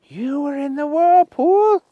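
A man doing a high, stern little policeman. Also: lively intonation, slow, dry recording, narrow, tinny audio, exaggerated voice. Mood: surprised. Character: small formal little policeman in yellow.

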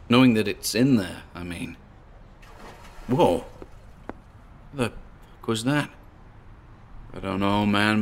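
Gravelly, nervous paranormal investigator. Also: male voice, on the low side, slight background noise. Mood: alarmed, disgusted. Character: nervous, driven male paranormal investigator recording himself alone. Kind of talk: audio drama, found-recording monologue.

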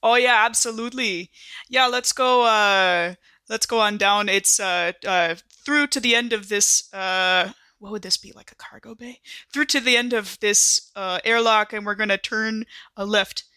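Woman doing a big buff friendly marine dude in an rpg, easygoing and eager to welcome you, bright voice. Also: mid-range voice, fairly even intonation, unhurried, clean tone, dry recording, clean studio sound. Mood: easygoing, helpful.

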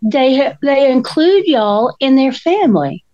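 A woman, talking slowly and thoughtfully. Her voice sits a little high and sounds slightly dull and dry, with no room around it. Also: sing-song, dark tone, no room sound. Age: 60s. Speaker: co-host. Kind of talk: casual chat.

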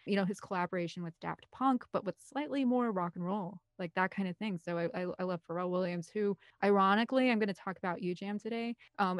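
Woman, podcast guest, slightly low pitch, enthusiastic, rambling casual talk, dry close sound. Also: no echo. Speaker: podcast guest, film composer. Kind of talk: casual chat.

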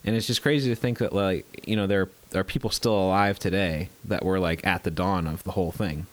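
Young male podcast co-host, very low, congested with a cold, rambling chat. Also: steady tone, fairly quick. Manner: rambling, many fillers and restarts. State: congested with a cold, stuffy and scratchy. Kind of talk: casual movie chat.

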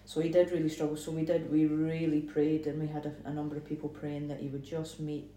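A woman, a church planter, telling family stories in earnest, relaxed dinner table chat. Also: deep voice, quick pace. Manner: earnest, reflective storytelling. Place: dining room. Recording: roomy with faint noise.

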